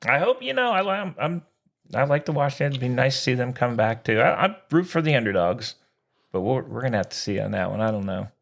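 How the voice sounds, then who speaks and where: A man's voice: mid-range voice, sing-song, quick pace, gravelly. A man co-hosting a sports podcast in casual banter, with an informal, exclamatory, slangy way of talking.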